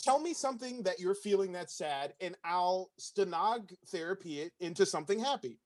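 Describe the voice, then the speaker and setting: A man's voice: high voice, lively intonation, moderate pace. A man playing a broke, pushy stenographer in an improvised comedy sketch, rambling and pleading obliviously. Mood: earnest, oblivious. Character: broke, pushy male stenographer seeking a roommate.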